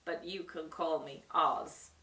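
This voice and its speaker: Woman as old storybook wizard, little man, sheepish humbug, deliberate pace, echoey room. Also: sits low, fairly even intonation, sharp tone, recorded from a distance, pitched below the performer's own voice, full character voice. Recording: roomy echo, away from the mic.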